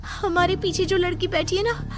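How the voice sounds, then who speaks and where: A woman's voice: very high voice, steady tone, normal speed, music in the background. A woman playing a frightened, anxious young office worker in a cartoon horror story, nervous and pleading. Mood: fearful. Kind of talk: animated Hindi horror story dubbing.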